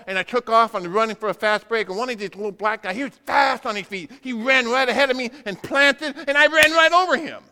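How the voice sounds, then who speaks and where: A male preacher delivers his sermon in a high, forceful voice that rings through a long hall reverb. He tells it as a dramatic storyteller, breaking it into short punchy phrases and acting out the dialogue. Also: smooth. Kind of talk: sermon with animated personal storytelling. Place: large reverberant room, speaking to a congregation.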